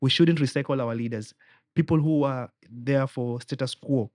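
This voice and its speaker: A man's voice: medium pitch, normal speed, a little mellow, no echo. Young Kenyan male guest, earnest campaign tone, dry close sound. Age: young adult. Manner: rallying, earnest, mixes Swahili phrases. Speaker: political aspirant guest. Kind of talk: political interview.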